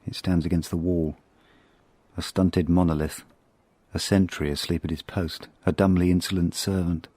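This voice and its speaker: A man acting a reflective middle-aged spy, narrating his past in a radio drama in a measured, wry, literary way. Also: low-pitched, warm tone, breathy edge, no room sound. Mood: brooding, regretful.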